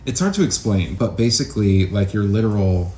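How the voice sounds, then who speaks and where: Male interview guest, soft dark tone, thoughtful and explanatory, roomy sound with faint noise behind. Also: lowish voice, very animated, fairly quick. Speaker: guest, acting teacher. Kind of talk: casual interview conversation.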